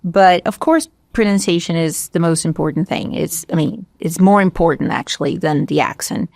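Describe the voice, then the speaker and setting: Lively Brazilian English teacher, dry sound. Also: female voice, fairly low pitch, normal speed, creaky, no echo. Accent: light Brazilian Portuguese. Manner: animated, emphatic, laughs often. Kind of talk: casual podcast chat in English.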